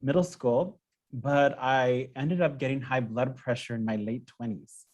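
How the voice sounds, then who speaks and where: A middle-aged man from California, a podcast guest telling his own story in a friendly, relaxed way. His voice sits in an ordinary middle pitch, and he talks conversationally. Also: a little slow, dull audio. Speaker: podcast guest, healing teacher. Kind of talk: podcast interview.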